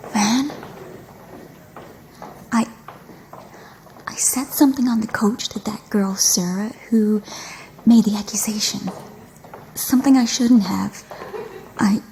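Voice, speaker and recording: A woman playing a wary, serious young woman in a naturalistic audio drama, speaking in a guarded, hushed way. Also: medium pitch, slow pace, pauses often, slight background noise, low-quality recording. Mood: anxious, hushed.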